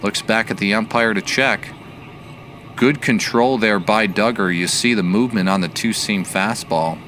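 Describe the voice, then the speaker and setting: A male baseball announcer with a fairly low voice calls the game in a measured, analytical way. His play-by-play sits over a steady bed of outdoor ambient noise. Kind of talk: sports play-by-play commentary.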